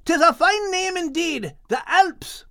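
A burly, boisterous male dog, bellowing every line in a gruff dialect , as a woman puts him on. The voice sits high and bouncy, recorded dry and close. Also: animated, takes it slowly, pushed and bright, no room sound, exaggerated voice. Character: loud, burly male dog with stuffy ears.

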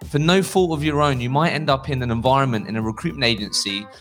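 A man around thirty hosting a podcast, pitching a community and then interviewing a guest with deliberate, upbeat delivery. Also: a bit bright, soft background music. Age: about 30. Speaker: podcast host.

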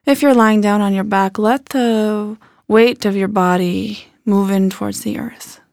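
A woman leading a guided body scan meditation in a gentle, unhurried manner with soft phrase endings. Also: medium pitch, steady tone, creaky, no room sound, clean studio sound.

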